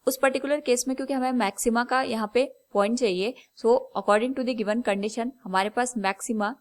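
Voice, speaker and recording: A woman's voice: fairly high pitch, fairly even intonation, normal speed. Indian woman teaching step by step. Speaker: physics teacher. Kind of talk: step-by-step lesson explanation, switching between Hindi and English.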